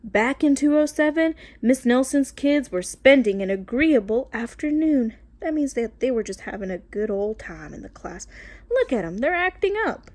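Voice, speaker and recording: A woman's voice: high voice, animated, moderate pace, darkish tone. A woman teacher reading a children's storybook aloud expressively, phrasing carefully and talking directly to her young listeners.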